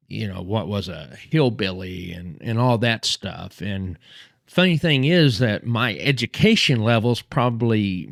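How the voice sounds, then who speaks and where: A man in his fifties with a Texas accent, host of a history channel, tells a personal story. His voice sits on the low side and stops and restarts often. The sound is close and dry, with no room around it. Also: no room sound. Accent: Texan. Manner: halting, many fillers and restarts.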